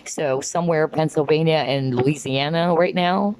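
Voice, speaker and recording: A woman giving a rambling spiritual card reading, filling her talk with "like" and "um" as she pauses to pick up messages. Her voice is going hoarse. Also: deep voice, darkish tone, a little hiss or hum. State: losing her voice. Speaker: tarot card reader.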